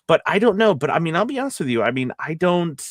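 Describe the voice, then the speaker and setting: A man hosting a casual live teaching chat on a close mic, rambling a little as he thinks out loud and checks in with his listeners. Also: a little high, sing-song, quick pace, no echo. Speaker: host and voice acting coach.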